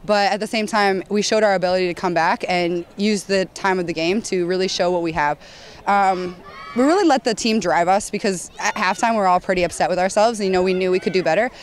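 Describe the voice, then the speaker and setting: A young woman goalkeeper talking quickly and eagerly in a post-game interview, outdoors at a stadium at night into a handheld mic. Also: medium pitch, slight background noise. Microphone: handheld mic held at chin.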